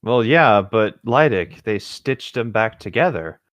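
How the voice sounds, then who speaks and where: A man's voice: on the low side, expressive, measured pace, a bit bright, no echo, muffled recording. A man co-hosting a casual read-along, narrating a story and adding offhand comments. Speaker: co-host reading a story aloud.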